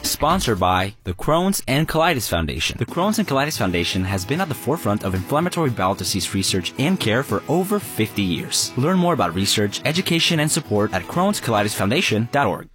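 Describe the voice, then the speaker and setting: A man's voice: mid-range voice, very animated, a little fast, hardly any pauses, a bit bright, faint music behind. A man reading a scripted sponsor message on the radio. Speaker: sponsor announcer.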